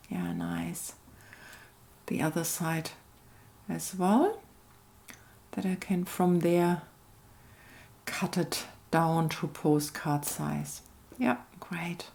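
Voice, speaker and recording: A woman gently narrating a craft tutorial as host, unhurried and reflective, often trailing off toward a near-whisper. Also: mid-range voice. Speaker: host of an art collage channel.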